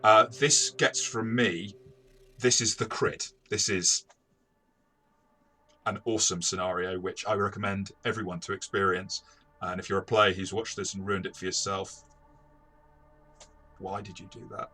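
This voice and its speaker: A man's voice: low-pitched, fairly even intonation, measured pace, long pauses, pushed and bright. A man hosting a casual review discussion as a game master, phrasing his points thoughtfully and correcting himself as he goes.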